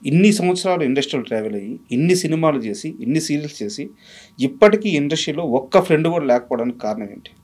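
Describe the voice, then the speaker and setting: Guy interviewer, talks real fast, polite and deferential, explains before he asks. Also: fairly high pitch, animated, a little mellow, slightly rough. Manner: deferential, prefaces questions with explanations. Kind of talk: conversational interview questioning.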